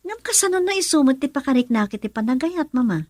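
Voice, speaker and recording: Young woman in love, earnest, very expressive, high voice, radio drama acting. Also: fast talker, dry recording, studio quality. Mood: pleading, defiant. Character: young woman in love, earnest daughter defending her choices.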